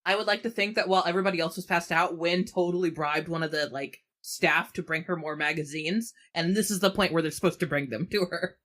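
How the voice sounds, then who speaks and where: A woman's voice: lowish voice, steady tone, fast, hardly any pauses, fairly bright tone. A woman playing a tabletop role-playing game, chatting casually and playfully as she improvises.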